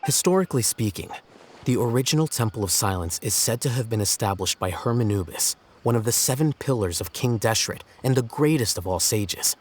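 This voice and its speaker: An actor voicing a stern, deadpan young man with a measured, dry delivery in a video game. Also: medium pitch, slight background noise, lightly acted.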